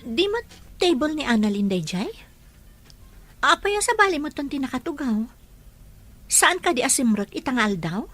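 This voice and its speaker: Earnest, troubled woman, slightly high voice acted. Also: very animated, moderate pace, long pauses, fairly bright tone, soft background music, full character voice. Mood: hurt, questioning. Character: hurt older sister in love, troubled and earnest. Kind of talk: dramatic acting in an audio romance drama.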